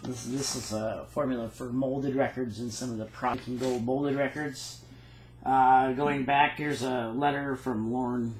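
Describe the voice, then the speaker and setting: A man walks through his notes in an easygoing, halting way, reading dates and patent text aloud. His voice sits at an ordinary pitch. The room around him is echoey, with a faint hum of background noise. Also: fairly even intonation. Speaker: phonograph cylinder maker. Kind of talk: casual show-and-tell walkthrough.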